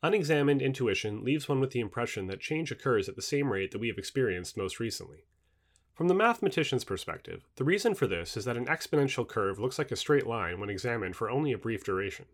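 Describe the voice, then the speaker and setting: A man's voice: medium pitch, expressive, fast. A man reading a nonfiction book aloud as scripted narration.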